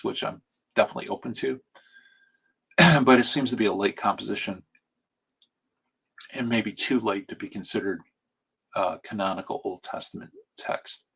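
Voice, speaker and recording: A man talking quietly and soothingly as he lectures on a live stream from home at night. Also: fairly low pitch, slightly rough, poor audio. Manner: hushed, soothing delivery. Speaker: streamer teaching on Bible canon. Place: home at night, family asleep nearby. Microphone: streaming mic.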